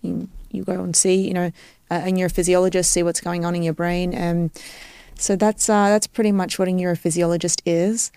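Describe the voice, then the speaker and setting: Aussie woman on a podcast explaining stuff like an expert, lowish creaky voice, real dry close mic. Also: little pitch movement, fairly quick, warm tone, no room sound. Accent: Australian. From Australia. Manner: didactic, checks understanding with tag questions. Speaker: guest expert, neurophysiologist.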